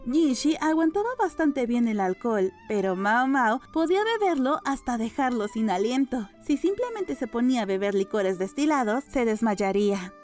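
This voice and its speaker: A female narrator with a moderately high voice reads a novel aloud. The delivery is scripted audiobook storytelling, with gentle music underneath. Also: animated, moderate pace, few pauses.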